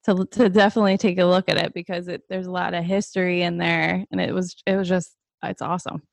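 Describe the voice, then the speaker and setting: A woman's voice: lowish voice, little pitch movement, quick pace, vocal fry, no echo. An American woman co-hosting a podcast, chatting informally with her guest in a casual, giggly way. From Pennsylvania, USA. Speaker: podcast co-host. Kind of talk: casual chat.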